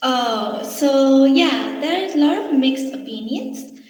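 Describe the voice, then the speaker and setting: A woman's voice: sits high, lively intonation, slow, darkish tone. A woman from India with an Indian accent, answering interview questions cheerfully and effusively. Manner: cheerful, effusive, sprinkled with "you know". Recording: echoey room with long reverb.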